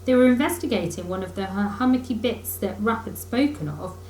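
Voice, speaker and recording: A woman's voice: medium pitch, expressive, brisk, a little mellow. A woman narrating a children's story aloud expressively, like a teacher reading to pupils. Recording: roomy echo, faint background noise.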